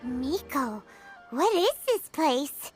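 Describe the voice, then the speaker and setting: An actress playing a tiny childlike fairy companion in an anime-style video game, timid and excitable, with a squeaky, expressive, whiny delivery. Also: female voice, extremely slow, exaggerated voice. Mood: curious, uneasy. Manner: squeaky, talks of self in third person, expressive. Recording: loud music behind the voice.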